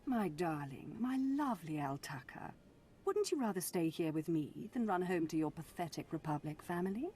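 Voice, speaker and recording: A woman's voice: lowish voice, expressive, moderate pace, mellow, faint music behind, lightly acted. A woman playing a condescending, controlling noblewoman in a video game, with disdainful aristocratic drawl and endearments. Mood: cajoling.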